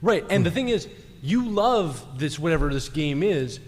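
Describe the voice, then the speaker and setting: A man's voice: on the high side, sing-song, moderate pace. A man speaking as a guest on a live panel, arguing his points and checking in as he goes. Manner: argumentative, checks in with "right?". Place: large convention room. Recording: echoey hall, clearly noisy.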